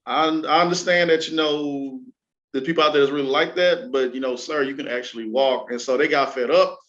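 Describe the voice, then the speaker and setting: Low-pitched-leaning casual male talk show host. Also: dull audio. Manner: colloquial, slangy, joking. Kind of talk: casual entertainment news chat.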